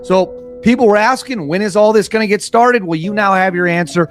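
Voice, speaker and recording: A slightly hoarse man giving opinionated news commentary, stressing and repeating his points and reading reports aloud. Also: sits high, fairly quick, soft background music.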